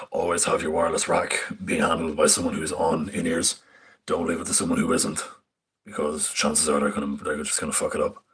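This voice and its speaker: Young man, very deep husky voice, flat delivery, casual rambling tutorial with swearing, phone mic. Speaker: stage tech student. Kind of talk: casual live screen walkthrough. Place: indoors at a screen. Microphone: phone filming a screen.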